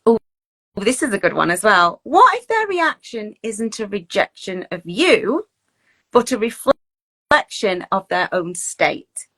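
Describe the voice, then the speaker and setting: A woman's voice: medium pitch, sharp tone. A woman giving an informal coaching talk in a chatty, encouraging way, often checking in with tag questions. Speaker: teacher wellbeing coach leading a talk. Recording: distant microphone.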